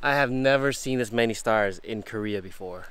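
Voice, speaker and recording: A man's voice: lowish voice, normal speed, a bit bright, slight background noise, poor audio. Man narrating a night hike vlog. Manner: chatty, narrating to camera. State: tired, climbing uphill. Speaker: vlog host. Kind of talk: casual vlog commentary while hiking. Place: dark mountain trail outdoors at night. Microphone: handheld camera held close. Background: background music.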